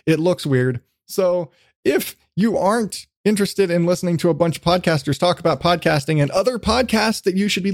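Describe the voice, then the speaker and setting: Male podcast host, on the higher side, very dry recording, loose conversational delivery with casual profanity. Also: no room sound. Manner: rambling, casually sweary.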